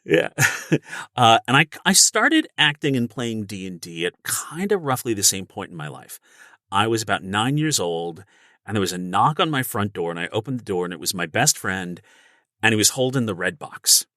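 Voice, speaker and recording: Bright, low-voiced middle-aged man telling anecdotes. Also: big pitch swings, normal speed, no room sound, studio quality. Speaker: podcast guest, professional actor. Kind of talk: casual anecdotal chat.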